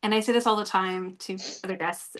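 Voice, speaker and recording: A woman's voice: medium pitch, fairly even intonation, brisk. A woman hosting a show, reading tarot for a guest in long, flowing, encouraging talk. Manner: talkative, warmly encouraging, talks in long flowing runs. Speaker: host and tarot reader. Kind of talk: casual chat, giving a tarot reading.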